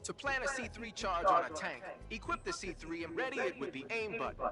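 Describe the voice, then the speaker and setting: An actor playing a brisk, knowledgeable military support officer in a video game, explaining and instructing with emphasis. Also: male voice, high voice, very animated. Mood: instructive. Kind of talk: video game radio briefing. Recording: loud music under the voice.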